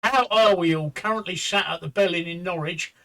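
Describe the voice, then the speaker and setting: A bright, high-pitched man hosts a loose chat show, rambling and tripping over his words as he jokes around. His voice is light and clear, and he talks from a pub. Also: fairly quick. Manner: joking, rambling, stumbles on words. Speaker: podcast host. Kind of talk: casual banter.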